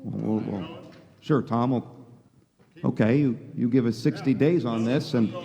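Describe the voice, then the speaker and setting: A man's voice: mid-range voice, unhurried, vocal fry, warm tone, lo-fi sound. An actor playing an earnest, flustered young small-town loan manager, stammering and repeating his words in a folksy way, in the style of a live radio play. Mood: earnest pleading. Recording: reverberant hall, live stage performance.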